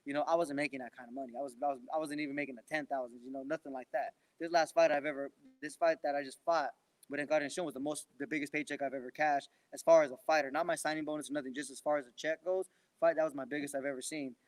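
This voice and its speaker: Young male fighter, quick rambling delivery, dry close sound, casual interview energy. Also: on the high side, steady tone, no echo. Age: 20s. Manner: rambling, many restarts and fillers. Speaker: professional boxer.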